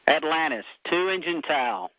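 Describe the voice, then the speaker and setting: Higher-voiced man on muffled radio. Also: expressive, unhurried, bright, forceful tone, no echo. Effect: radio transmission. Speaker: mission control radio communicator. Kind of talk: terse radio calls. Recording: muffled radio link with faint noise.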